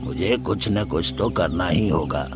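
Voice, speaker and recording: A man's voice: sits low, animated, unhurried, over music. A man playing a greedy, scheming village uncle in a Hindi moral story, with a calculating, self-serving manner.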